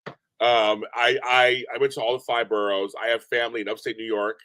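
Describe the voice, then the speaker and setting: A deep-voiced man chatting as a podcast guest, animated and rambling, often repeating himself. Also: a little fast, no echo. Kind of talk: casual interview chat. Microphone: remote call from home.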